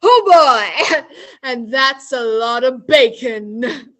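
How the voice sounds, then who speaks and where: A woman's voice: on the high side, animated, very slow, a bit bright, heavily acted. A young American woman playing a brash, plucky heroine in a farcical radio play, with theatrical comic acting. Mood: triumphant.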